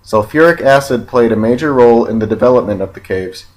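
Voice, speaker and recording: A man narrating an educational film from a script in a measured, factual, read-aloud way. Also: mid-range voice, animated, darkish tone.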